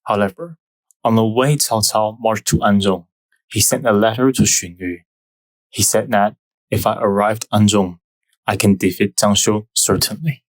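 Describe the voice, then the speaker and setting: Low-voiced Taiwanese-accented man explaining history. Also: fairly even intonation, no room sound, poor audio. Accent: Taiwanese Mandarin. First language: Mandarin. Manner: careful, measured explaining with non-native phrasing. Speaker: history channel host. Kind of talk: scripted narration.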